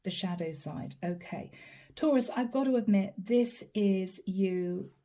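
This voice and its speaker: A woman doing a channeled tarot reading straight to the viewer, earnest and apologetic, her voice going hoarse. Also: medium pitch, a little slow, mellow. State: losing her voice. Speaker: tarot reader.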